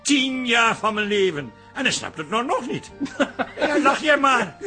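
A fed-up middle-aged husband in a radio comedy drama. His voice is high and forceful, with very lively swings of pitch. He complains with sarcasm. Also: a little slow, soft background music, exaggerated voice. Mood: weary complaining. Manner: exasperated, sarcastic. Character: exasperated, loud husband and pub keeper who loves football.

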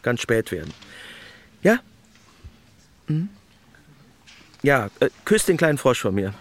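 Evasive older writer, dryly amused, leisurely pace, animated pitch, casual radio drama dialogue. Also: male voice, slow pace. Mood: offhand. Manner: casual, half-attentive, short replies.

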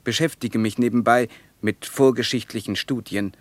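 An actor in a German radio play, playing a guarded, teasing young Russian teacher and reserve lieutenant. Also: male voice, medium pitch, steady tone, normal speed, no room sound, lightly acted. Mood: frank, formal.

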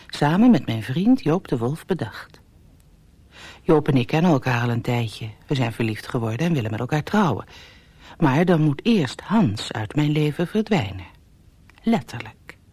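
A woman's voice: extremely low pitch, a little mellow, slightly rough, no echo, heavily acted. A woman playing a scheming, unfaithful wife who narrates a radio drama in a confiding, mock-sweet manner. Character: cool, scheming unfaithful wife confiding her murder plot.

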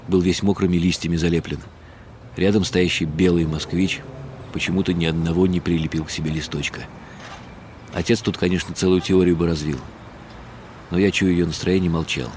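A man reading a first-person literary story as a radio play, in a measured, wry and bitter tone. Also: low-pitched, a little mellow, a little hiss or hum.

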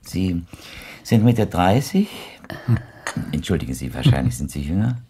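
An actor playing a blind widowed former musician with a flowery, hesitant, formally polite and ingratiating way of speaking. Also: male voice, low-pitched, very animated, measured pace, mellow, a little hiss or hum, exaggerated voice. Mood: flattering, observant. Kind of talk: German radio drama acting.

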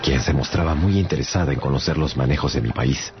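An earnest traveller narrates his own adventures in a radio play. The voice is very low and expressive, telling it with suspense over loud music in a dulled recording. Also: fast. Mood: reflective. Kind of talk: dramatic first-person radio narration. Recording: dull radio drama, loud music behind.

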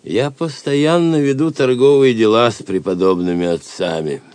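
A man's voice: medium pitch, moderate pace, a little mellow, clean tone, dry recording, close to an everyday voice. An actor playing a ruined, pleading merchant and father, obsequious and lamenting, in an audio drama. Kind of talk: Russian audio drama acting.

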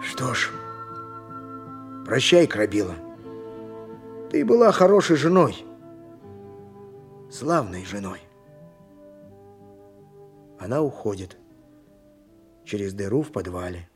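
A man's voice: on the low side, sing-song, slow, pauses often, faint music behind, heavily acted. An actor playing a poor, downtrodden ancient Greek donkey driver in an audio drama, plaintive, simple and resigned, both telling and acting his story. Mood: resigned, sorrowful. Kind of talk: audio drama, dialogue mixed with first-person narration.

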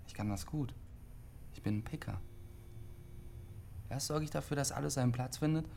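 A man's voice: mid-range voice, moderate pace, vocal fry, a little mellow, a little hiss or hum, close to an everyday voice. An actor playing a withdrawn, literal-minded CB radio operator in his twenties, matter-of-fact and pedantic, in a naturalistic radio drama. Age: 20s.

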